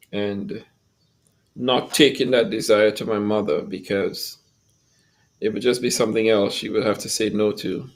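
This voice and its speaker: A man in his fifties, from Trinidad and Tobago, speaks as a podcast guest. He tells his story in an earnest, grateful way and keeps a measured, reflective pace. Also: lowish voice, creaky. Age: 50s. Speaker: guest, music school founder. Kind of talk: personal story in an interview.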